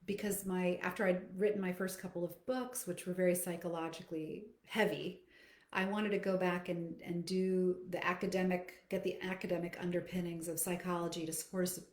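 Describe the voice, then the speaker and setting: A roomy, echoing recording of a middle-aged woman with a slightly low voice. She talks conversationally, like a coach hosting a live question session. Her delivery is reflective and explanatory, aimed straight at her listeners. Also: little pitch movement, brisk, darkish tone. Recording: echoey, roomy sound.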